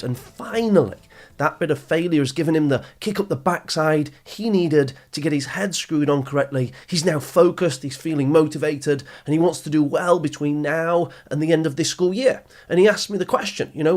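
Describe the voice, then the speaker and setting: A man hosting a motivational podcast for teenagers, speaking in an upbeat, emphatic coaching manner and addressing the listener directly. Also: a little high. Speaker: podcast host.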